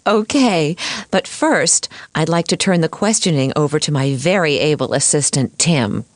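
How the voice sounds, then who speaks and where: A woman's voice: low-pitched, expressive, normal speed, no echo. Poised cartoon lady lawyer. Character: poised, sincere female courtroom lawyer. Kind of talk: animated educational courtroom dialogue.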